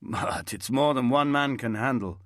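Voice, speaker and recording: A man's voice: medium pitch, unhurried, a bit bright, no echo, studio quality, voice pushed up. A man voicing an older Italian window cleaner, tired and worn down, with an Italian accent. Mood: weary.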